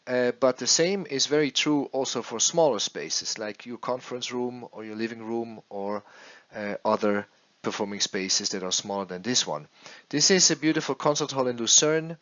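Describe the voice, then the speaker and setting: A man working as an acoustics consultant explains a case study over slides in a halting way, repeating words as he goes. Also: medium pitch, a bit bright, dull audio. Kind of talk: slide presentation, explanatory narration.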